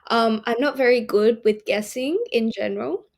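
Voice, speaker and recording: Young woman, indian-born aussie, relaxed and giggly, higher voice. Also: moderate pace, gravelly. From India, raised in Australia. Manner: relaxed, laughs easily. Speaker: podcast co-host. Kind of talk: casual chat.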